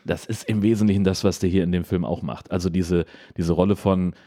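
Male podcast host, very deep voice. Casual, colloquial, joking discussion with some crude slang. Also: steady tone, a little fast, mellow.

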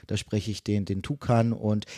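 A man's voice: lowish voice, level tone, normal speed, mellow, no room sound, studio quality. A man speaking as a podcast guest and voice actor, explaining casually and searching for his words as he goes.

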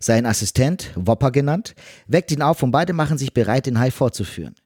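A man of medium pitch reads a scripted retelling of a film. His delivery is wry and tongue-in-cheek, recorded with no room echo. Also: expressive, fairly quick, darkish tone, breathy edge, studio quality. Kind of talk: scripted film recap narration.

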